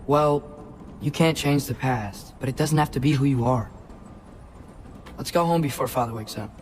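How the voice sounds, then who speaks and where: A man's voice: mid-range voice, normal speed, slight background noise, close to an everyday voice. A man playing an earnest, restless teenage boy in a video game, with a casual way of talking. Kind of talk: naturalistic video game acting.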